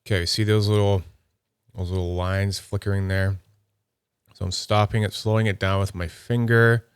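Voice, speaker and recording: A man's voice: low voice, flat delivery, normal speed, clear, resonant tone, no echo, studio quality. A man giving casual unboxing commentary, chatty and upbeat, thinking aloud as he handles and inspects small items. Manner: nerdy and upbeat. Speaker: YouTube fidget toy reviewer.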